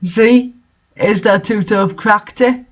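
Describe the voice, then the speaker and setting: An angry man acted out by a male reader gives orders in a high voice. He is aggressive and commanding, like a character in a story reading. The recording sounds dull. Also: fairly even intonation, slow. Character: angry, foul-mouthed man giving orders.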